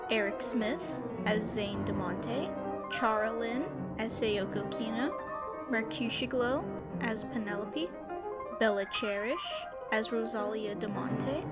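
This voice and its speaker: A woman reading a scripted credits announcement for an audio drama. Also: on the high side. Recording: loud music behind the voice. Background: loud music.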